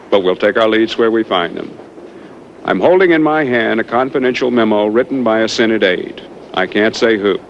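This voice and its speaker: A man's voice: lowish voice, natural voice. A man playing a wry, earnest television field correspondent, reporting in articulate, dramatic phrases. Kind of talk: live news report acting. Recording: dull location sound, faint noise.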